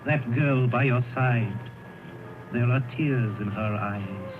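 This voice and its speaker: A man playing a solemn male spirit of the past in a 1930s radio drama, speaking in a grave, measured, commanding way. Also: mid-range voice, noisy background, lightly acted. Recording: tinny old radio broadcast with music.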